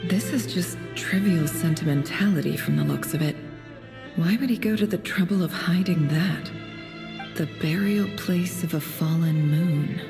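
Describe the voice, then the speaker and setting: A woman's voice: lowish voice, normal speed, over music, natural voice. A woman playing a curious, observant young traveler in a video game, thinking aloud to herself, with long reverb on her voice. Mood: pensive, curious. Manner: thoughtful, musing to herself. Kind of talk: video game cutscene voice acting.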